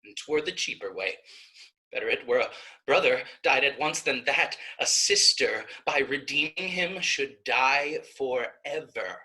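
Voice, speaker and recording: Guy playing a devout steadfast young woman in shakespeare, slow careful pleading, bright forceful voice. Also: mid-range voice, expressive, low-quality recording. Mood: defiant. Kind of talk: Shakespearean staged reading.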